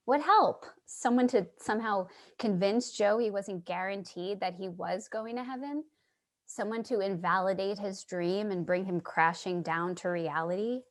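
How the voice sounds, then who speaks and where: A woman's voice: mid-range voice, moderate pace, close to an everyday voice. An actress playing a troubled, earnest loner woman, hesitant and dramatic, in a staged play reading. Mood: wry, troubled.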